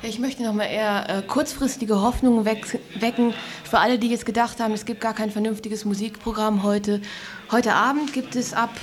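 Higher-pitched woman, playful radio announcement. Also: steady tone, normal speed. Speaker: radio presenter. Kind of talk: informal live announcement. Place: radio studio.

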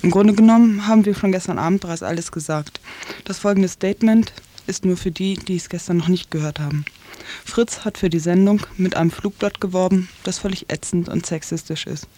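A woman's voice: on the low side, brisk, mellow, a little hiss or hum. A woman reading out a prepared activist statement in a firm, accusatory manner. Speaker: activist spokesperson.

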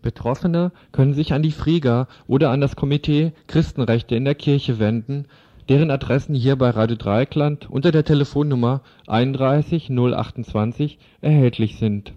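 A man's voice: mid-range voice, normal speed, warm tone. A man reading a scripted political radio commentary as a reporter. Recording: dull lo-fi radio recording.

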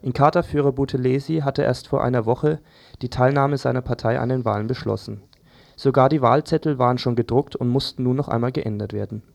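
A man's voice: medium pitch, fairly even intonation, brisk, creaky, a little mellow. A man reading a scripted news overview as a presenter.

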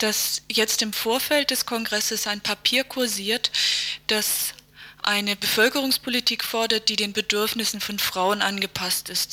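A woman's voice: mid-range voice, sharp tone. A woman presenting a radio news report, reading a scripted introduction and commentary.

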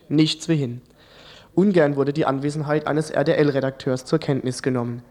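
A man's voice: on the high side, darkish tone. A man presenting scripted radio news commentary with a wry, ironic manner.

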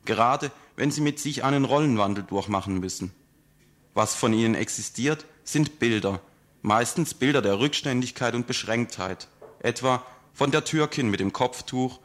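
A man reads a scripted radio report at a measured pace. He leans on key words with calm emphasis. His pitch sits in the middle range.

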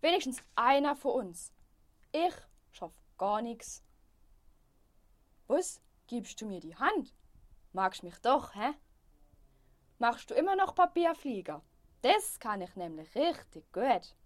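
Woman playing a swinging, high-voiced young gangster rapper. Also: big pitch swings, takes it slowly, long pauses. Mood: dejected, needy. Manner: swaggering street slang, tag questions. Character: cool, slangy young gangster rapper, boastful but self-doubting. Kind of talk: youth radio play acting.

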